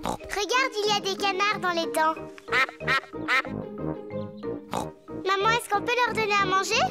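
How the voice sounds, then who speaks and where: A performer voicing a bossy, excitable little girl pig in a French children's cartoon, perky and cheeky. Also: extremely high pitch, brisk, over music. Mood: curious.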